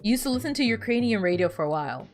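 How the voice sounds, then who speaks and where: A woman's voice: fairly high pitch, lively intonation, fast, faint music behind. A woman whose first language is Spanish, chatting casually with viewers while she plays a video game, rambling and often restarting her sentences. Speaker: streamer.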